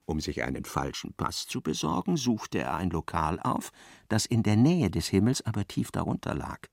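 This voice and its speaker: An actor playing a dry storyteller, giving measured, precise literary narration in a radio play. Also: male voice, on the low side, sing-song, creaky, dry recording, heavily acted.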